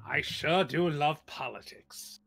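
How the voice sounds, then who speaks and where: A man's voice: fairly high pitch, slow pace, creaky, fairly bright tone, slightly rough, faint music behind. A man playing a tabletop role-playing game, chatting casually and jokingly with the group.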